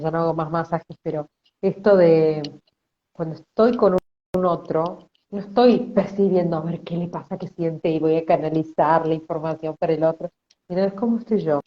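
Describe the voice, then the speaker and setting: Soft-spoken woman, low pitch. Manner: soft, flowing and thoughtful, acts out quoted complaints. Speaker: host. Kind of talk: reflective conversation. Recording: dull lo-fi audio.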